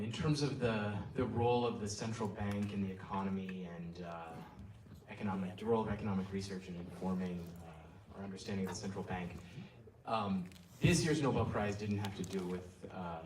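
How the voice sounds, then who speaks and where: Very deep-voiced man in an echoey hall. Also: darkish tone, slight background noise. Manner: halting, many ums and uhs. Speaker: panelist economist. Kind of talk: panel discussion answer. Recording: roomy hall, mic at a distance.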